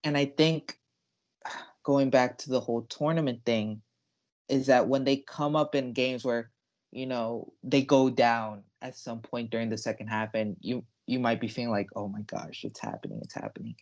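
A man's voice: mid-range voice, fairly even intonation, moderate pace. A young man co-hosting a sports podcast, talking casually with emphatic, enthusiastic delivery.

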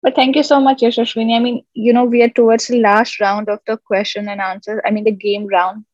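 A woman with a fairly high voice hosts a podcast, warm and encouraging and quick to praise. Her sound comes through a bit dull and lo-fi. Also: little pitch movement, no room sound. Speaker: podcast host. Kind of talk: friendly podcast hosting.

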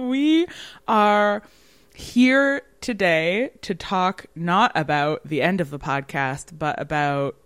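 A woman's voice: fairly low pitch, takes it slowly, a bit bright, clean tone, dry recording. A woman co-hosting a podcast in casual chat, laughing often and talking with lots of fillers. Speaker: podcast co-host.

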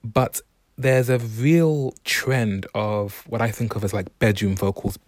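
A young man hosting a solo podcast, talking in a relaxed, reflective, unhurried way. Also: lowish voice, no echo, studio quality. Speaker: podcast host. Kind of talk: casual solo podcast monologue.

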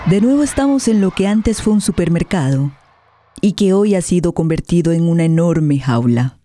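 A woman's voice: on the low side, animated, moderate pace, warm tone. A woman narrating a radio play in a dramatic, scripted style.

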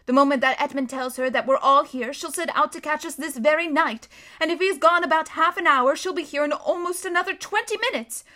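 A woman reading aloud voicing a practical, motherly female beaver who reasons things out briskly. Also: high-pitched, bright, forceful tone, pitched above the performer's own voice. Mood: reasoning.

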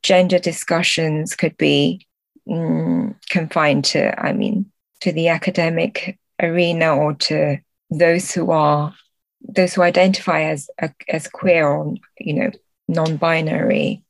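Woman interviewee, lowish register, dry recording, reflective and halting, conversational delivery with self-corrections. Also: creaky, no room sound. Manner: hesitant, searching for words, self-correcting. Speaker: podcast guest, translator and book editor. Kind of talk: thoughtful conversational interview answers.

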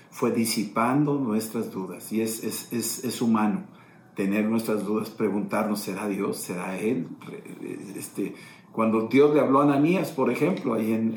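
A man's voice: lowish voice, darkish tone, slight background noise. A middle-aged man pastor teaching a sermon, explaining and retelling scripture passages. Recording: echoey room, faint ambience.

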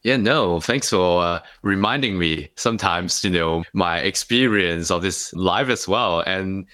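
A man's voice: low-pitched, measured pace, sharp tone. A man from Hong Kong whose first language is Cantonese, a life coach speaking as a podcast guest in a reflective, storytelling way. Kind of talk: podcast interview.

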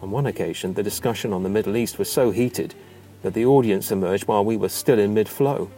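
A man reads a scripted memoir in a low, mellow voice. His delivery is measured and theatrical, shaped as storytelling, with faint music underneath. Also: poor audio. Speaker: narrator reading a memoir.

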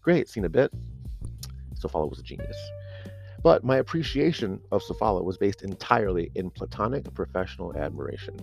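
Low, creaky male first-person narrator. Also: big pitch swings, brisk, faint music behind. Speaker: narrator of a serialized audio story.

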